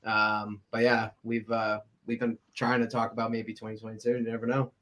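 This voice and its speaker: A man co-hosting a podcast, chatting casually in a relaxed way and laughing often. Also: lowish voice, a little fast. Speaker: podcast co-host.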